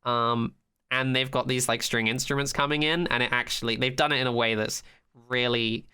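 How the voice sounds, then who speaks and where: A podcast host chatting casually about a TV show in an animated, sweary way, often acting out quotes and sounds. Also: mid-range voice, moderate pace, smooth. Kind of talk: casual chat, fan review of a TV episode.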